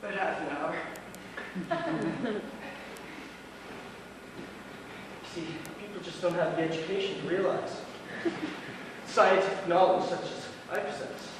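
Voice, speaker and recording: An actor on stage playing a smug drug user who lectures pedantically as a self-styled amateur chemist. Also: male voice, sits high, moderate pace, natural voice. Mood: self-important. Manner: pompous, pedantic explaining. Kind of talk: live stage play acting. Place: stage of a small theatre. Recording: very echoey hall, far mic, noisy.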